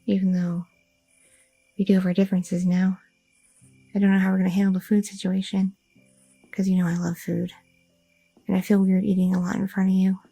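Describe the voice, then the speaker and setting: A young woman playing a loving, chatty goth girlfriend in an ASMR roleplay, talking softly and intimately with lots of fillers, close to the mic. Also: medium pitch, flat delivery, a little fast, gravelly, pauses often, breathy edge, soft background music. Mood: tender, candid. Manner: soft, intimate, rambling with many fillers.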